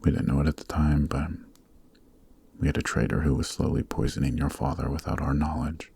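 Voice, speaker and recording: A man playing a weary, noble Demon King in a fantasy ASMR roleplay, speaking softly and solemnly with a breathy voice. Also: level tone, a little hiss or hum. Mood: grieving, solemn. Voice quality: very deep, soft, breathy, half-whispered. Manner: slow, solemn, earnest, formal phrasing. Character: weary, noble adult male Demon King, sorrowful and gentle. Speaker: voice actor.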